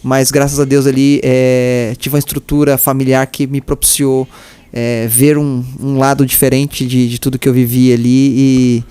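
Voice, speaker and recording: A man in his thirties from São Paulo with a São Paulo accent, telling his story as a podcast guest in a relaxed way. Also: mid-range voice, normal speed, clean tone. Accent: São Paulo Brazilian Portuguese. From East Zone of São Paulo, Brazil. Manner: relaxed storytelling with fillers and laughs. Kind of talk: casual interview chat.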